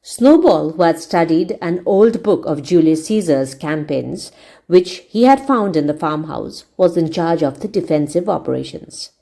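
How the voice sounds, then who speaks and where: A woman with a very soft-edged, mellow voice that sits a little low. She reads like a storyteller who also teaches, deliberate and explanatory as she moves between narration and explanation. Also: animated, creaky. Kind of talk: read-aloud narration with word explanations.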